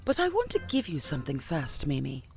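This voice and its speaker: A woman playing a gentle, kindly fairy guardian in an audio drama, courteous and reassuring. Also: sits low, huge pitch swings, fairly quick, creaky, soft background music, exaggerated voice. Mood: tender, reassuring.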